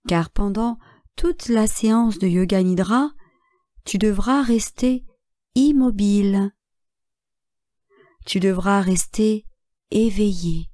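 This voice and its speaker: A woman guiding a relaxation meditation as a yoga nidra instructor, calm and measured, speaking in short phrases with long pauses. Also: medium pitch, no echo, clean studio sound.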